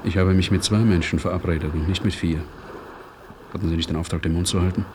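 An actor playing a curt, pedantic British naval commander in a German radio drama, icily polite and sarcastic. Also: male voice, deep voice, fairly even intonation, fast talker, close to an everyday voice. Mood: sarcastic, irritated. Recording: noisy old radio recording.

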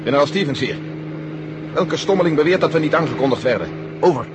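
Casting: man as gruff, blustering army general for radio drama, higher-pitched, commanding, indignant, noisy engine drone. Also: animated, fast talker, natural voice. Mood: brisk, commanding. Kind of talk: 1970 Dutch radio drama acting.

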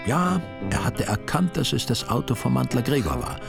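A man's voice: mid-range voice, big pitch swings, moderate pace, vocal fry, over music. Dry-witted male narrator. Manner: dry ironic humor. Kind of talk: wry storytelling reading.

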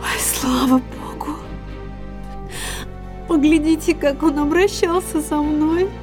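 Battered bitter wife, high-pitched, breathy, halting anguish, audio drama, faint music behind. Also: female voice. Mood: anxious, weak. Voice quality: breathy, husky. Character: battered, betrayed wife, weak, wounded and bitter. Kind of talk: emotional audio-drama acting.

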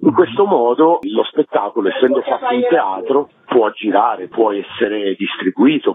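A man's voice: fairly low pitch, a little hiss or hum. A man being interviewed as a theatre director, explaining things at length over a telephone line. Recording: muffled narrow telephone line on radio.

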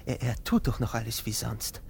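An actor playing a pompous, excitable doctor in a German radio play, theatrical, lurching between whispers and outbursts. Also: male voice, medium pitch, normal speed, gravelly, a little hiss or hum. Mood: fascinated, gloating. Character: pompous, excitable male doctor obsessed with experiments.

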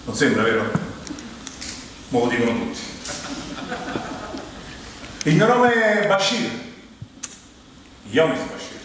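A man's voice: fairly high pitch, big pitch swings, slow, long pauses. A man from Rome with a Roman accent delivers an ironic, conversational comic monologue to a theatre audience, teasing them as he goes. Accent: Roman Italian. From Rome, Italy. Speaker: stand-up monologist, stage performer. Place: theatre stage in a large hall. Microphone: distant microphone. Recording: echoey hall from far off, faint noise.

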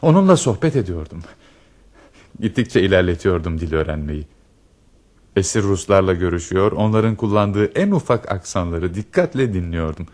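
An actor playing an earnest, lovestruck married army lieutenant in a Turkish radio play, confiding and dramatic. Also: male voice, low-pitched, sing-song, moderate pace, darkish tone. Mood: passionate, confiding. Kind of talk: Turkish radio theatre acting.

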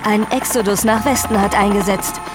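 An actress playing a polished television news anchor in a radio drama, formal and measured. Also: female voice, medium pitch, fairly even intonation, over loud music, close to an everyday voice.

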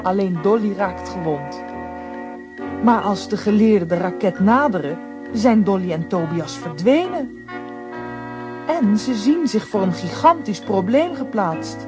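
A woman narrating a children's radio play in a calm storytelling manner. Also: medium pitch, measured pace. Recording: music underneath.

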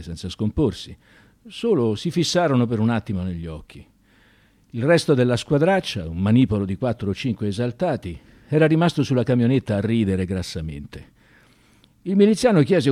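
A male narrator tells a radio-theatre story. His tone is dark and mellow, and his delivery is theatrical and expressive, with pitch that moves widely as he goes.

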